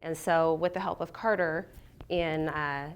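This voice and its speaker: A woman's voice: low voice, fairly even intonation, measured pace, smooth, dull audio. A middle-aged woman getting over a cold, giving a conversational talk to a business group as guest speaker. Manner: conversational, with fillers and restarts.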